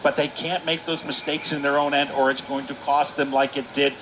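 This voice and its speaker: A man's voice: mid-range voice, level tone, brisk, background noise. An American man giving live color commentary on a hockey game, in an emphatic, folksy way that repeats its points. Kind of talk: live sports analysis.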